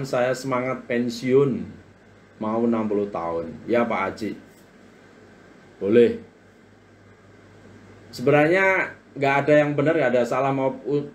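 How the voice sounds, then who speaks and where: A man's voice: mid-range voice, slight background noise. A man in his forties hosting a live-stream book talk, chatting to viewers and reading their comments aloud in a motivational way. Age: 40s. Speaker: live-stream business coach.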